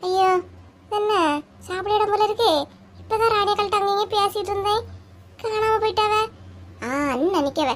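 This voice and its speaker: A woman acts a nervous young cartoon village woman, hesitant and easily flustered. Her voice is very high and carries a Kanyakumari Tamil accent. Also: moderate pace, pauses often, a little hiss or hum. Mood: panicked. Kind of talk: comic cartoon voice acting.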